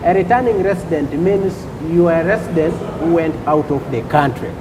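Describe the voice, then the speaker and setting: A man from Uganda, a customs official on a talk show, explaining rules deliberately and repeating points with "okay?" checks. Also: a little high, background noise.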